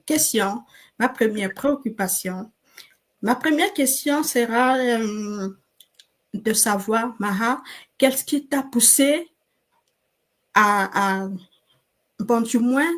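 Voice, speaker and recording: A middle-aged woman from Cameroon hosts a live online interview. Her voice sits a little high, and she takes her time, pausing on fillers as she gathers each phrase. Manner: slow and deliberate, with hesitant fillers. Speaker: host.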